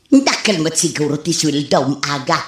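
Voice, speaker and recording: An actress playing a nosy, slyly teasing older village auntie in an Ilocano radio comedy drama, prying in drawn-out phrases with an Ilocano accent. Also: female voice, low voice, sing-song. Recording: echoey, with music behind.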